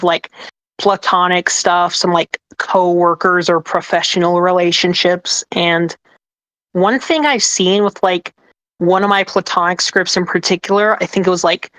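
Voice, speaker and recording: Woman, lower voice, halting casual panel talk, dry room. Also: fairly bright tone, no echo. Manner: hesitant, halting, self-deprecating. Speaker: ASMR script writer, podcast panelist. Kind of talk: casual chat.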